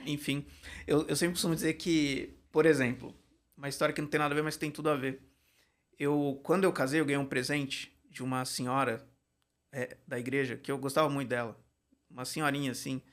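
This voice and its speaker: A man, a writing teacher telling stories in a casual podcast chat, animated and laughing often. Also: medium pitch, fairly quick. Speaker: Portuguese and creative writing teacher, guest.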